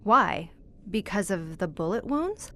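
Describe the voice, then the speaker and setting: A woman's voice: medium pitch, very animated, measured pace, a bit bright, slight background noise. An actress playing an inquisitive, educated woman visitor who questions formally in an audio horror drama. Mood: shocked. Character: inquisitive, educated woman visitor, composed but easily appalled.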